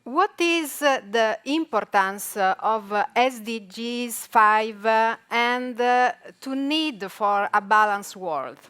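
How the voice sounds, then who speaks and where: A woman moderator reads out her questions haltingly, with frequent pauses and fillers. Her voice is a bit high and smooth, sounding clean. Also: a bit bright. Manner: hesitant, many fillers. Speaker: event moderator.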